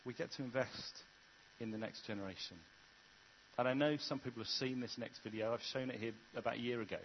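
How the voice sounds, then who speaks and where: A man's voice: mid-range voice, fairly even intonation, fairly quick, lo-fi sound, a little hiss or hum. A man preaching a sermon in an earnest, conversational way, often restarting his sentences. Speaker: vicar.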